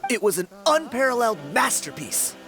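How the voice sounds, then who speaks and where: A man's voice: extremely high pitch, sing-song, brisk, over music, heavily acted. An actor playing a friendly young tribesman in a video game, earnest, emphatic and reassuring. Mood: sympathetic.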